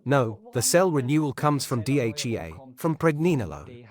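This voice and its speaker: A man's voice: mid-range voice, sing-song, normal speed. A man speaking as a doctor being interviewed, explaining in an assertive, opinionated way.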